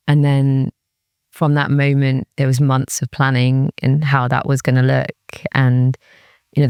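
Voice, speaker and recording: A woman from Wales talks candidly and seriously as an interview guest. Her voice is smooth with a creaky edge, recorded close and dry. She reflects conversationally, sprinkling in "like" and voicing her inner thoughts. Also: rumbling low, mellow, dry recording. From Cardiff, Wales. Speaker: interview guest, music industry executive.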